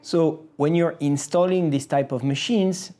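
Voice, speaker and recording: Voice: mid-range voice, measured pace, mellow. A company presenter explaining a product step by step in a video explainer style. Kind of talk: scripted-sounding product explainer.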